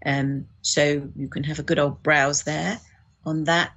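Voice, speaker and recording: A woman's voice: low voice, level tone, unhurried, fairly bright tone, muffled recording. A woman chatting as a podcast guest, explaining things informally and a little hesitantly. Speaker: podcast guest, audiobook producer. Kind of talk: casual interview chat.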